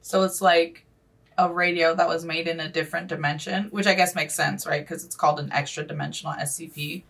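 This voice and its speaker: A woman's voice: sits low, fairly even intonation, brisk, gravelly, fairly bright tone, distant mic. A woman giving casual, off-the-cuff reaction commentary, thinking aloud informally. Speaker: reaction video host.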